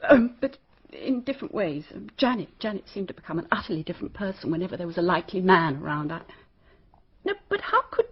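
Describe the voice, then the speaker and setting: A woman's voice: lowish voice, sing-song, fast talker, husky, highs cut off, heavily acted. A woman playing a prim, guarded English schoolteacher in a radio drama, proper and defensive, with an English accent. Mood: flustered, defensive.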